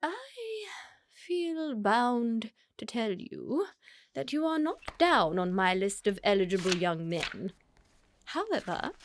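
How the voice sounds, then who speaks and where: A woman playing an imperious, pompous older aristocratic mother in a comic radio play, with an upper-class English accent and emphatic, drawn-out delivery. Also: medium pitch, a bit bright. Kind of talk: theatrical comedy-of-manners radio acting.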